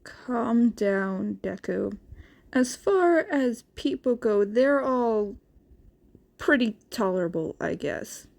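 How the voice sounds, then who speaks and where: A woman voicing an aloof teenage boy in a story reading, curt, deadpan and dryly teasing. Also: takes it slowly, gravelly, full character voice. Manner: deadpan, low-key, curt. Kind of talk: character dialogue in a fan fiction reading.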